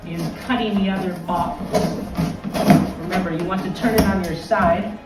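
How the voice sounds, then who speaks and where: A theatrical old vampire count, played by a man, hosts a craft tutorial and drifts off into stories. His voice sits a little high. He is recorded from a distance in a very echoey room with music playing underneath. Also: fairly even intonation, moderate pace, natural voice. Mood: instructive. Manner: digressive, wanders into anecdotes. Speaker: craft tutorial host in character. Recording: very echoey room, mic from afar.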